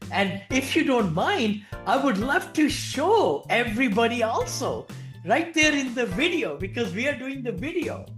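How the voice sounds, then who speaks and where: Male podcast host, effusive, animated swooping pitch, roomy echo, faint music. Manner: effusive, encouraging, many short affirmations. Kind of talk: enthusiastic interview chat.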